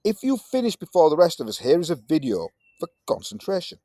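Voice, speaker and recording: A man in his fifties with a Yorkshire accent, teaching a science lesson in a chatty, lively manner with British slang. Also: on the high side, fairly quick, no room sound, studio quality. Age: 50s. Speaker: tutor. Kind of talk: recorded tutoring lesson.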